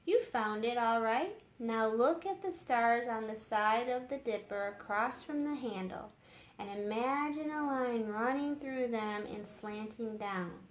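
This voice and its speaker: Young scholar role in a storybook, woman reader: polite, patient, teacherly, slow delivery, echoing room. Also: a little high, natural voice. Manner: polite, explanatory. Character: patient, brainy young man, gently teaching. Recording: roomy echo with faint noise.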